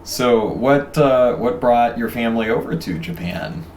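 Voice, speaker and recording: Man, casual interviewer, encouraging, expressive swooping pitch, sounds away from the mic in a noisy space. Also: measured pace. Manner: encouraging, reacts with exclamations of interest. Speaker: interviewer, host. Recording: roomy, distant mic, ambient noise.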